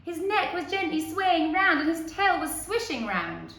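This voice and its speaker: A woman teacher narrating a children's storybook with an expressive, rhythmic delivery. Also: extremely high pitch, unhurried, gravelly, roomy sound.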